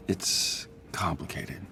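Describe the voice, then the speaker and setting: An actor playing a weary, worried scientist hero in a video game, halting and subdued. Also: male voice, bright, forceful tone.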